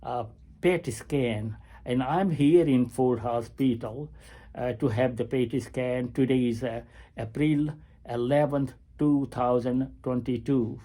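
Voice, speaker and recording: An eighty-year-old man records a video diary while waiting at a hospital. He speaks in a slow, halting way, full of pauses and fillers. His voice has a mellow, unhurried tone. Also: medium pitch, steady tone. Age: 80s. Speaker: retired family physician.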